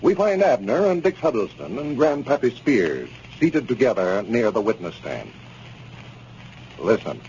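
Male 1930s announcer, animated and emphatic sponsor-read delivery, dull, slightly noisy broadcast recording. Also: medium pitch, unhurried. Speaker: radio announcer.

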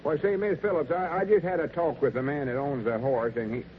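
A man's voice: on the high side, fairly even intonation, brisk, close to an everyday voice. A man playing a scheming, self-important rustic storekeeper turned lawyer, with an Arkansas hillbilly accent and folksy, blustering talk in 1940s radio comedy style. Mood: smooth-talking. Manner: folksy, blustering, backwoods grammar. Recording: noisy, narrow-band 1940s radio recording.

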